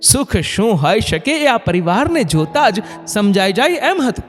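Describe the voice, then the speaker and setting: A man narrating a scripted moral story in an expressive, dramatic way. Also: sits high, measured pace. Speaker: narrator of motivational stories. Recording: long hall reverb, music bed. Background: background music.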